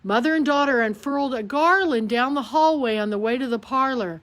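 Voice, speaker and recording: A woman reading a children's picture book aloud at storytime, slowly and with lots of expression. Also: sits high. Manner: slow, expressive read-aloud delivery. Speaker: storytime reader.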